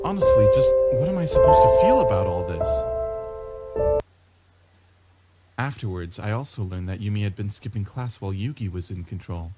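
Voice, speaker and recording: A man's voice: lowish voice, lively intonation, fast talker, natural voice. A man reading visual novel narration aloud as an introspective, wry sixteen-year-old schoolboy. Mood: reflective. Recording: loud guitar music under the voice. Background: guitar background music.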